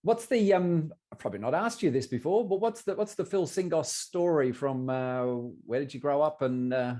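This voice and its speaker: A man's voice: fairly high pitch, lively intonation, moderate pace, dark tone. An Australian man hosting a podcast, chatting casually with a guest in an informal, matey, encouraging way. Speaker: podcast host interviewing a guest. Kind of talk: casual interview chat.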